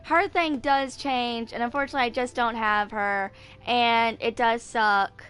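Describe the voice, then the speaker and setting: Female gamer, 20s. Clean, smooth, fairly high voice; informal, meandering delivery, thinking out loud as she plays. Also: fairly even intonation. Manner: halting, distracted thinking aloud. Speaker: gaming video creator. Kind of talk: casual rambling game commentary.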